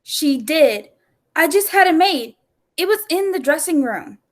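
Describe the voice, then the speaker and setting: Woman doing a indignant circus diva, really high voice, old radio drama style. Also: expressive, measured pace. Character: indignant young woman circus star with a dancing elephant. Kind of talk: 1940s radio acting.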